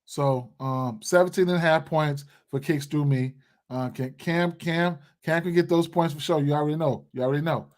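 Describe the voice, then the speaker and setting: Young male streamer, slightly raised pitch, loose slangy chat with many fillers, talking to a desk mic indoors. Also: normal speed. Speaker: sports betting livestream host. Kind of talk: casual livestream commentary, reading chat. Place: indoor room.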